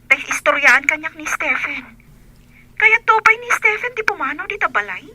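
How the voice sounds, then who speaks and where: A woman's voice: high-pitched, big pitch swings, normal speed, pushed and bright, heavily acted, rough recording. A woman acting a young adult daughter in an Ilocano radio drama, sad and affectionate, earnest and plaintive, with an Ilocano Filipino accent.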